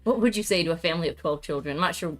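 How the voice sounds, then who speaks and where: A woman's voice: fairly low pitch, very fast, soft background music. A young adult woman hosting a casual stream chat with her mother, speaking easily with many "like"s. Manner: easygoing, many "like"s and fillers. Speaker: streamer host.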